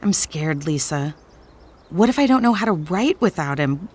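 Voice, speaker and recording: Theatrical, swooping romance novelist. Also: female voice, on the low side, expressive, normal speed, darkish tone, husky. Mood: downcast, self-pitying. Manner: theatrical, florid. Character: dramatic romance novelist, wounded by divorce, florid tour guide. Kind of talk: audio drama comedy acting.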